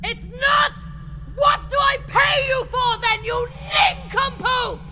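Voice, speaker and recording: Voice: extremely high pitch, steady tone, slow pace, shouting, loud music behind the voice, heavily acted. An actor playing a furious, bullying boss who screeches abuse in an audio drama. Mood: outraged.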